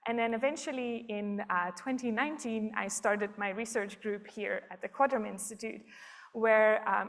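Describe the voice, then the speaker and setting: A woman in her thirties from Belgium giving a public science talk, explaining things step by step with frequent ums. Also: mid-range voice.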